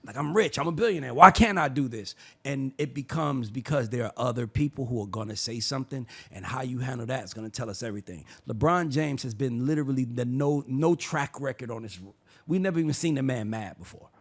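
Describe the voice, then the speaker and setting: A man hosting a sports opinion show, arguing his case in a heated, preachy way and sometimes building to shouts. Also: medium pitch, brisk, husky. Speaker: show host.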